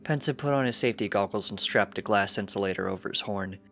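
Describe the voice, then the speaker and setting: A man's voice: on the low side, fast, gravelly, a bit bright. A man reading scripted fiction as a storybook narrator. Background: background music.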